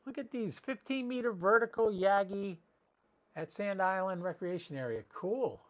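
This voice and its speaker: A man's voice: sits high, normal speed, highs cut off. Chatty man hosting a casual livestream. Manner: chatty, rambling, addressing viewers by name. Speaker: amateur radio livestream host.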